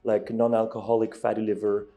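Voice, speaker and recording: A man's voice: lowish voice, monotone, brisk, warm tone, faint music behind. A man from Belgium, answering interview questions as a company executive, hesitant with many ums and restarts. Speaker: guest, ingredient company executive.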